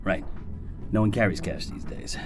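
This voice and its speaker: An actor playing a volatile, menacing armed carjacker in an audio drama, sneering, swearing and erratic. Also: male voice, sits low, normal speed, lightly acted. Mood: menacing, sarcastic.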